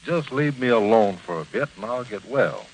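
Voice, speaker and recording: A man's voice: on the low side, lively intonation, normal speed. A man voicing a big, proud, folksy talking horse in a 1940s radio western comedy. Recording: slightly noisy 1940s radio recording.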